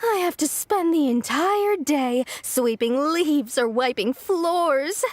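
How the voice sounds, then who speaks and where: An actress playing a sly, flirty swindler in a video game, theatrically self-pitying and coy. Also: female voice, sits high, animated, takes it slowly, no room sound. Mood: plaintive, self-pitying.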